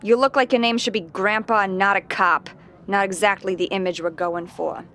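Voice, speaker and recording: An actress playing a short-tempered, bossy noblewoman on the run in a fantasy audio drama, gruff and profane. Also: mid-range voice, fairly even intonation, normal speed, fairly bright tone, lightly acted. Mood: curt, exasperated. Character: foul-mouthed, short-tempered fugitive noblewoman commanding a party.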